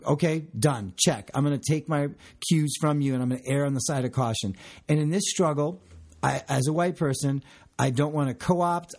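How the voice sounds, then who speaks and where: A man co-hosting a discussion, talking passionately and emphatically, with a loud voice. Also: fairly high pitch, husky. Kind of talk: casual discussion.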